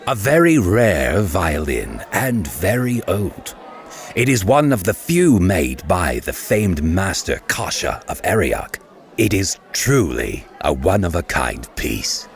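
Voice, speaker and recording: A boastful, suavely courteous nobleman in a fantasy audio drama. His voice is deep and gravelly, swinging widely in pitch as he holds forth with formal self-importance. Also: sing-song, heavily acted. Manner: pompous, formal, measured. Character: haughty wealthy lord, boastful and suavely courteous.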